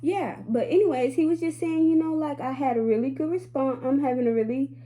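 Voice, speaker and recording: A woman's voice: sits high, fairly quick, warm tone. Sassy chatty woman vlogging to viewers. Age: 20s. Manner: chatty, candid and sassy, addressing viewers as y'all. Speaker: beauty vlogger. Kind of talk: casual chatty vlog talk.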